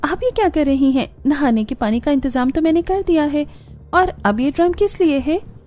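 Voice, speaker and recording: A woman voicing a resourceful, eager young daughter-in-law in a cartoon family, earnest and animated. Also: sits high, moderate pace, voice pushed up. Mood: surprised. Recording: music behind the voice.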